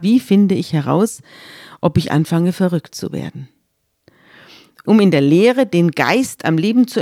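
A woman's voice: on the low side, darkish tone, no echo, clean studio sound. A woman talking thoughtfully about a Bible story, recounting an anecdote and reflecting on it in a conversational discussion. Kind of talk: conversational religious podcast discussion.

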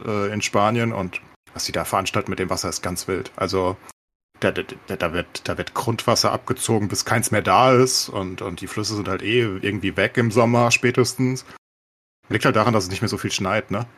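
Husky, fast-talking man in casual chat. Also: fairly low pitch, steady tone, fairly bright tone. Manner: colloquial, sardonic understatement. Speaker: podcast host.

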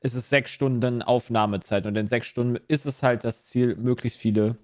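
A man's voice: mid-range voice, fairly even intonation, moderate pace, a little mellow. A young man co-hosting a casual podcast, making dry, teasing remarks. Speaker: podcast co-host. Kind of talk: casual chat.

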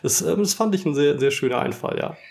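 A man's voice: a little high, lively intonation, fast, creaky, a little mellow. A man talking as a podcast host in a conversational book discussion, reflective and appreciative in the way he comments.

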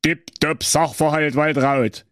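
A man's voice: high voice, sing-song, unhurried, a little hiss or hum, voice pushed up. A man voicing a dim-witted, blustering middle-aged husband with a Saxon accent in a comic radio fairy tale. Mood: astonished.

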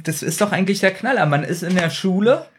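A man with a high-pitched voice chats casually as a podcast co-host. He puts his opinions forward playfully. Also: expressive, fast talker. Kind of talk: casual fan discussion of an audio drama.

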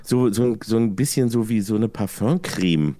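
A man telling an anecdote casually in a halting, rambling, self-mocking way. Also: fairly low pitch, warm tone. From Germany.

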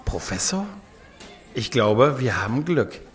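Man playing an animated, curious sidekick. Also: mid-range voice, takes it slowly, soft background music. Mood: upbeat. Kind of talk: hobby sci-fi audio drama acting.

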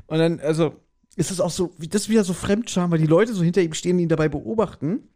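A man co-hosting a podcast, telling anecdotes in casual, animated chat and acting out bits as he goes. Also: high voice, fast. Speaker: podcast co-host.

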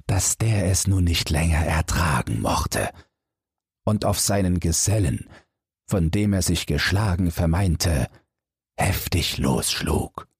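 A man with a deep, breathy, heavily husky voice narrates a fairy tale for bedtime. His delivery is slow and measured, with expressive, storybook phrasing that moves up and down. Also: no room sound, studio quality. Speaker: audiobook narrator.

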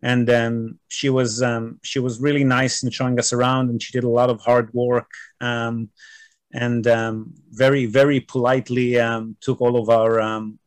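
A man hosting a casual video call interview, chatty and somewhat halting, often repeating the starts of his words. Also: mid-range voice, no room sound. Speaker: host of a real estate show.

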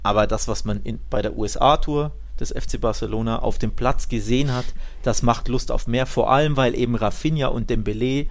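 A man chatting casually as a football podcast co-host, feeling his way through measured opinions and repeating himself. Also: medium pitch.

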